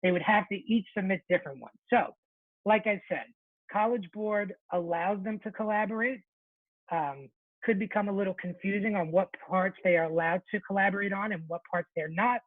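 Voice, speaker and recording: A man presenting an informal webinar for teachers, chatty and relaxed, often correcting himself mid-sentence. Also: sits high, normal speed, no echo. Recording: thin phone-like webinar audio, dry.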